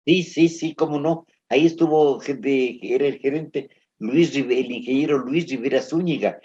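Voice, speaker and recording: A man's voice: medium pitch, normal speed, a little mellow. A man, interviewed, earnestly reminisces about old friends and work. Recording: muffled lo-fi recording.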